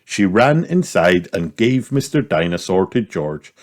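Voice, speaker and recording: A man reading a children's picture book aloud in an expressive storytelling manner. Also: low voice, a little slow. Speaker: storybook reader. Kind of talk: scripted children's story narration.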